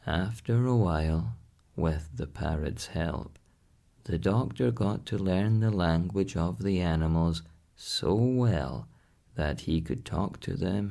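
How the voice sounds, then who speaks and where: A man calmly narrating a scripted bedtime story as an audiobook, in a soothing manner. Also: deep voice, vocal fry. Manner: calm, soothing.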